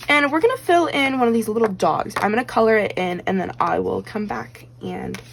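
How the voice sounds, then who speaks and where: A woman's voice: medium pitch, lively intonation, brisk, vocal fry, a little hiss or hum. A woman chatting casually as she stuffs cash into budget envelopes. She rambles with lots of fillers, counts bills aloud and is slightly losing her voice. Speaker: budgeting vlogger.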